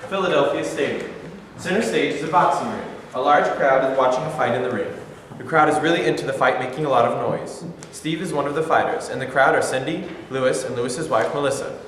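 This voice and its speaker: A man reads the stage directions in a steady, matter-of-fact voice. He sits away from the camera, so his words come through distant and ringing in a bare, very echoey room with clear hiss. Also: medium pitch, brisk.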